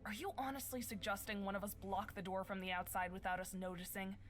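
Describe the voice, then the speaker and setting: An actress playing a skeptical young woman who speaks in a dry, sharp, exasperated way. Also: medium pitch, steady tone, fast, soft background music, natural voice. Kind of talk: game voice acting.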